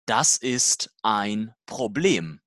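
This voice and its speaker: Language-course dialogue reader: very slow, deliberate delivery, lively pitch movement. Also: no room sound, studio quality. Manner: slow, deliberate, simple learner-level sentences.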